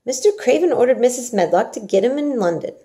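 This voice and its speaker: A woman's voice: on the high side, expressive, quick pace, warm tone, pitched above the performer's own voice, natural voice. A woman voicing a good-natured young Yorkshire housemaid in a storybook, homely and talkative, with a Yorkshire accent. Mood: matter-of-fact. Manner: rattling on, homely and familiar.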